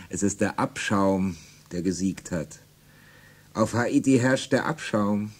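Cynical slaveholder, husky, fairly low, scornful laughter, radio play. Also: male voice, slow pace, a little mellow. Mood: commanding. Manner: mocking, laughing, commanding. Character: cynical aristocratic slaveholder, middle-aged man. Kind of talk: 1980s German radio drama acting.

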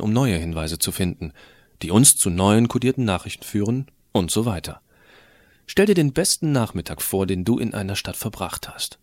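A geeky teenage boy, played by a man narrates his own story for an audio drama. The voice is fairly low and recorded very dry. He is colloquial and cheeky, telling things with expressive enthusiasm. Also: dry recording. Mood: enthusiastic, cheeky.

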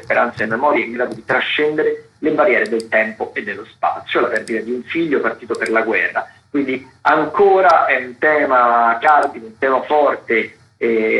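A man presents theatre and dance listings on the radio in a semi-scripted style. He pauses and stretches words as he goes. The sound is echoey and slightly dull, at a medium pitch.

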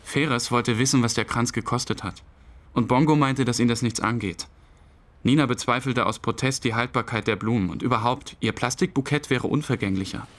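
A man's voice: medium pitch, brisk, fairly bright tone, close to an everyday voice. An actor playing a subdued, hesitant man who recalls a friend's burial in plain, short sentences, as narrator of a radio play. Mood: reflective, subdued. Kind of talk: interview-like dramatic monologue, past-tense recollection. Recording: faint rain and ambience noise.

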